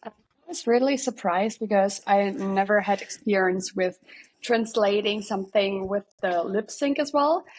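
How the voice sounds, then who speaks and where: A woman with a German accent, speaking as a guest on a podcast. Her voice is slightly husky and sits at a middle pitch. She speaks hesitantly and often starts her sentences over. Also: unhurried, a little mellow, low-quality recording. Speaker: linguist guest.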